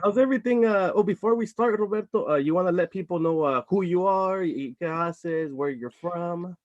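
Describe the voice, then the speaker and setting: Higher-voiced male live-stream host. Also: moderate pace, darkish tone, dry recording, highs cut off. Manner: friendly, drops Spanish words, fillers. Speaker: host, marketing manager. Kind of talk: casual live-stream interview. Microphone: video call.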